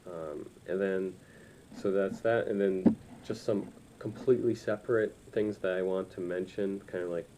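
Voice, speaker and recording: A man's voice: sits low, fairly even intonation, normal speed, noisy background. A man in his twenties chatting casually to camera in a hesitant, rambling, informal way as he gives a review. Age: 20s. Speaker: reviewer of audio gear. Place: small room indoors.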